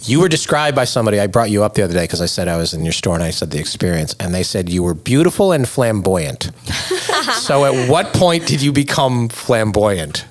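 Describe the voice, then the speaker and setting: A man's voice: lowish voice, sing-song, fairly quick. A man interviewing his co-hosts in a casual podcast chat, asking curious follow-up questions.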